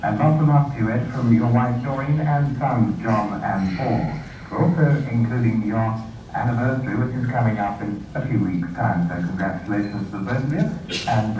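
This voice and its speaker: A man's voice: mid-range voice, moderate pace, dark tone, slight background noise. A man presenting a 1960s radio request show, reading listener dedications in a friendly announcer manner. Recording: dull roomy distant archive radio recording.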